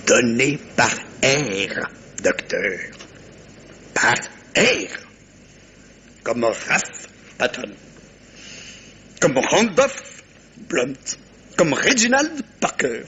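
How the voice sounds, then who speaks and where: An actor playing a shrewd, self-satisfied, courteous middle-aged detective in a vintage French radio mystery, with theatrical, pointed pauses. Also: male voice, mid-range voice, sharp tone, slight background noise, full character voice. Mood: dramatic, pondering.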